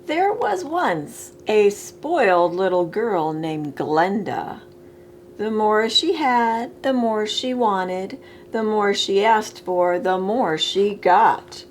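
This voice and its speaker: A woman reading a children's picture book aloud expressively, with deliberate phrasing, as a storytime reader. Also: mid-range voice, animated, a little hiss or hum.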